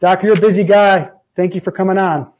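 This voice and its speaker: A man in his forties hosts a health podcast from his office, upbeat and joking as he rolls through long introductions. His voice sits a little high yet stays warm and soft-edged. It comes through an earbud mic on a video call. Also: steady tone, brisk. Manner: upbeat, jokey, reads intro lists. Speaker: podcast host, chiropractor. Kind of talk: casual interview chat. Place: office with framed diplomas, indoors. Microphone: earbud headset on a video call.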